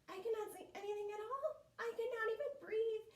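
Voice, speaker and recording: Woman doing a squeaky chirping little squirrel. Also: a little fast, roomy sound. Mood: pleading. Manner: squeaky chirping sing-song. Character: cheeky excitable young male squirrel.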